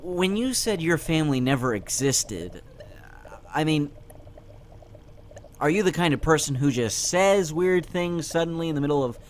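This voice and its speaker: A man playing an awkward, skeptical teenage boy in a comic dub, speaking haltingly with stammers. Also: fairly high pitch. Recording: noticeable background noise.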